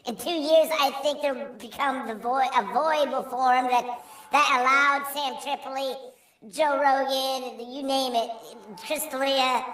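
A very high, processed voice from a voice changer, performing improvised mock stand-up in a game lobby. The delivery is loud, theatrical and prone to sing-song repetition. It sounds roomy and set back from the microphone. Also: steady tone, unhurried, far from the mic. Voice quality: altered high-pitched voice. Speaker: amateur comic and room admin in an online comedy game. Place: online game voice chat. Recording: roomy echo, off-mic game voice chat.

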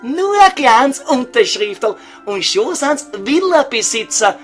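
A man's voice: extremely high pitch, big pitch swings, slow, fairly bright tone, faint music behind, full character voice. A man playing a cheeky, foul-mouthed estate agent in a comedy audio sketch, talking colloquially with a Viennese accent. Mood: eager. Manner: crude, wheedling, colloquial.

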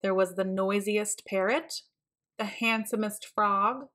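A woman narrating a children's storybook in an expressive, deliberate way. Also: mid-range voice. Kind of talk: read-aloud storybook narration.

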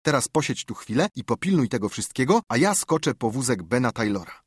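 A man voicing an eager, bossy boy adventurer who talks with raised, breathless enthusiasm and a husky tone. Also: mid-range voice, big pitch swings, brisk, no room sound, clean studio sound.

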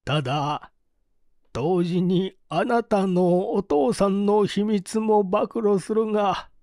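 A penitent old man of about sixty, voiced by a male reader in a mystery audio drama. He speaks extremely slowly and haltingly, with solemn, old-fashioned formality. The recording is dry. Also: high-pitched, a little mellow, no echo. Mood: resigned, resolute. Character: anguished, penitent old man over sixty, doting father.

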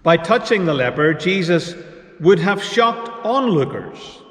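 Male pastor, high voice, slow deliberate sermon delivery, long church reverb. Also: big pitch swings, a bit bright. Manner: slow, measured preaching with pauses. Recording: echoey church hall reverb.

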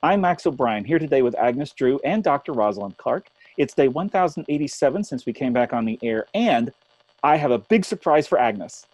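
A man's voice: mid-range voice, animated, normal speed, darkish tone, no echo, natural voice, low-quality recording. A man acting in a radio drama as an earnest, thoughtful radio host after a zombie apocalypse. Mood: upbeat. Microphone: close studio mic on boom arm.

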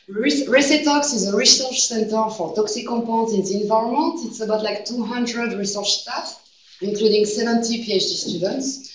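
A woman's voice: fairly high pitch, slight background noise. A woman in her thirties with a French accent, giving an academic seminar talk and leaning on "like" as a filler. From France. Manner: non-native phrasing with frequent "like" fillers. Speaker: guest researcher presenting a seminar. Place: seminar room. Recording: echoey room, distant mic.

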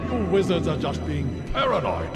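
An actor playing a scornful, indignant lord, blustering and dismissive, in a fantasy game cinematic. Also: male voice, a little high, wildly swooping pitch, normal speed. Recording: echoing hall, loud music.

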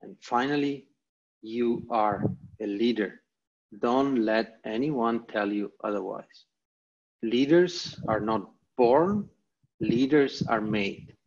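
A man's voice: medium pitch, takes it slowly, darkish tone. An Italian man with an Italian accent presenting a motivational online lecture, speaking in emphatic, repeated short phrases over a video call. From Italy. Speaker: guest presenter. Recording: dull, lo-fi video call audio.

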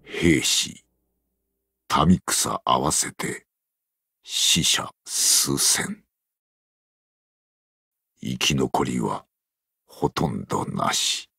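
An actor playing a grave old samurai warlord in a video game, speaking solemnly in weighty phrases with a gravelly, husky voice. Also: male voice, rumbling low, lively intonation, drawn-out words, pauses often, pushed and bright, dry recording, clean studio sound, exaggerated voice. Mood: grim, solemn. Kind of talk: solemn dramatic game acting and narration.

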